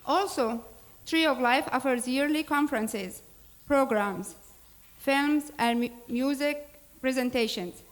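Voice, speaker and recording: A woman's voice: high-pitched, slow. A woman from Syria, speaking earnestly as a board president introducing a program, with small grammar slips. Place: church hall.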